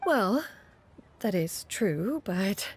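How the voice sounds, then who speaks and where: A woman's voice: mid-range voice, big pitch swings, very slow, exaggerated voice. An actress voicing a proud, ancient immortal woman in a video game, with formal, archaic, dignified phrasing. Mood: stately, reflective. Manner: formal archaic phrasing, speaks of herself as "one".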